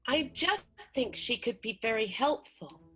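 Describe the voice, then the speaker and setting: Bright-voiced, hesitant, evasive wife, played by a woman. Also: fairly high pitch, expressive, slightly rough, faint music behind, heavily acted. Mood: evasive, flustered. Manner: halting, repeating herself, stammering evasively. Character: hesitant, evasive 1920s wife with a secret lover. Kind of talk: improvised radio soap opera acting.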